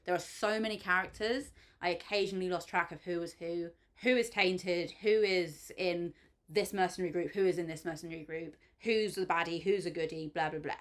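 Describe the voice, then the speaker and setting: A woman's voice: lowish voice, a little fast. A woman talks through a book she loves in a gushing, chatty way. Her speech is loose and full of ums and likes as she reviews it for her channel. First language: English. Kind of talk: enthusiastic spoken book review.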